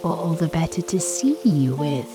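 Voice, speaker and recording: A woman's voice: low voice, sing-song. A woman voicing a sly, predatory wolf with a falsely sweet, coaxing manner in a bedtime storybook. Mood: falsely kind menace. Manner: wheedling, drawn-out, mock-kindly. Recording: soft music and rain behind.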